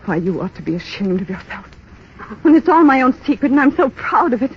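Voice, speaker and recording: Proud naive young wife, old 30s radio play, talks fast, indignant, muffled recording. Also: female voice, high voice, very animated, a little hiss or hum. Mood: defiant. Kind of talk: 1930s radio drama acting. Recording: muffled 1930s radio broadcast.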